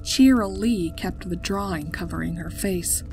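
A woman's voice: on the low side, a little slow, a little mellow. A woman reading a scripted story as its narrator. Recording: music behind the voice. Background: background music.

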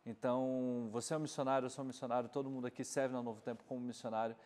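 A Brazilian man in his thirties hosts a TV interview in a conversational style. His mid-pitched voice moves quickly, with long reverb around it. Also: level tone, darkish tone. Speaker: TV interview host. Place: TV studio, seated. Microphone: lapel mic.

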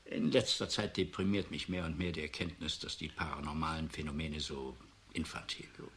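An actor playing a disillusioned, weary professor in a radio drama, musing and sardonic. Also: male voice, extremely low pitch, fairly even intonation, fairly bright tone, slight background noise. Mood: exasperated, musing. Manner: musing, sardonic, halting and emphatic by turns. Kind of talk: German radio drama acting.

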